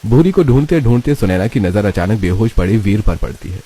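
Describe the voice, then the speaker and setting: A man's voice: fairly low pitch, lively intonation, brisk, warm tone. A man narrating a scripted moral story as its storyteller.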